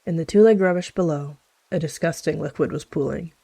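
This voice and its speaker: A woman's voice: low-pitched, brisk, creaky, mellow, slightly rough, no echo. A woman reading scripted story narration as a storyteller.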